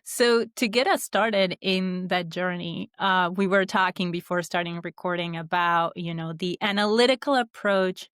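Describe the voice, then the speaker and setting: A woman's voice: lowish voice, normal speed, hardly any pauses, a bit bright, smooth, no room sound, studio quality. A woman hosting a podcast interview who reads a scripted intro and then asks questions casually, with some fillers. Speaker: podcast host.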